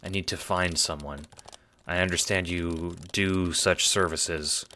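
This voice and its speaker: A man's voice: sits low, steady tone, measured pace, pushed and bright, a little hiss or hum. A man playing a nervous, apologetic postal worker in an audio drama, speaking formally and hesitantly. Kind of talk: audio drama character acting.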